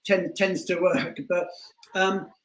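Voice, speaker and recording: A man hosting a casual online interview, halting and often repeating words mid-sentence as he frames his questions. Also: high voice, flat delivery, a bit bright, slight background noise. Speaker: show host, interviewer. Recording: echoey room on online call.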